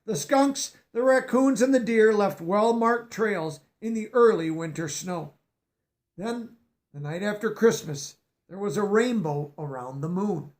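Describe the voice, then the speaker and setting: High-voiced man reading a storybook. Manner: expressive, pauses to explain words to children. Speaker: storybook reader. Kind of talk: reading a picture book aloud.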